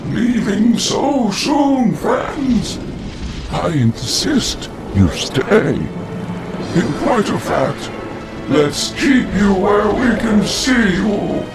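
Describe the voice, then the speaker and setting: A sinister old sorcerer taunts with false politeness in a radio play. Words crawl out extremely slowly in a high, swooping voice, over clear music. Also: male voice, sing-song, heavily acted. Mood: taunting. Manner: drawling, mock-polite menace. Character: mocking, sinister old male dark sorcerer. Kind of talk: old-time radio drama acting.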